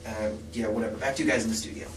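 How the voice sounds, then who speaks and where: A man's voice: on the low side, steady tone, rapid-fire, natural voice. A man playing an awkward, tactless field correspondent in an amateur interview sketch. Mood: brusque. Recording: echoey, distant mic, faint noise.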